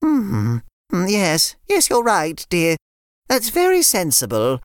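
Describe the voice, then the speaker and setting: A woman's voice: lowish voice, wildly swooping pitch, slow, clear, resonant tone, no room sound, clean studio sound, voice pushed up, full character voice. A woman voicing a kindly older grandmother who loves biscuits, in a fussy, chatty way. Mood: fond.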